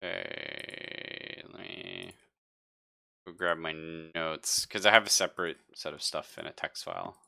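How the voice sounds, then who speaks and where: Bright-voiced, jokey male game master. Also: deep voice, very animated, measured pace, gravelly. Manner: jokey, laughs often. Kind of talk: casual tabletop roleplaying game session.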